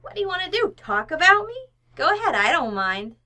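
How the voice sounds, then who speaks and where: A woman's voice: very high voice, very animated, fairly quick, sharp tone, heavily acted. A woman acting a brash, nosy, slangy housekeeper in an old-time radio comedy, blunt and overly familiar. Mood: brash, nonchalant.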